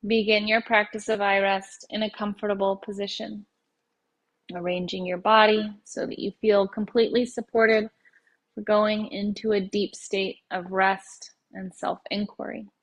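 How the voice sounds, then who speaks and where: A woman yoga nidra teacher guides a meditation in a medium-pitched voice. Her intonation stays very even, and she phrases slowly, leaving gentle pauses between instructions. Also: rough recording.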